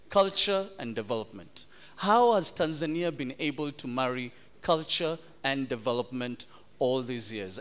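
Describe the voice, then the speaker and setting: A man moderating a formal panel discussion, posing measured questions and slipping into Swahili. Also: mid-range voice, a bit bright.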